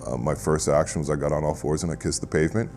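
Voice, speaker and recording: Middle-aged male pilot, reflective interview telling, very low voice, music under. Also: steady tone, darkish tone. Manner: reflective, halting restarts. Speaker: private pilot. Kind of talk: interview recounting an event.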